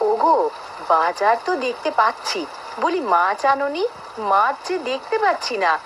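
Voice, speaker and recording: A woman playing an anxious village wife and mother in an animated folk tale, plaintive and questioning. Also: high voice, normal speed. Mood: fretful. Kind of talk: Bengali cartoon dialogue. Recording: faint background noise.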